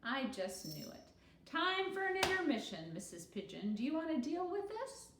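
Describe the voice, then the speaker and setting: Woman acting storybook schoolgirl, bossy, confident, theatrical, lively pitch swings, faint background noise. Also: moderate pace. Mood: exasperated. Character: bossy, self-assured little second-grade girl storyteller. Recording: roomy echo with faint noise.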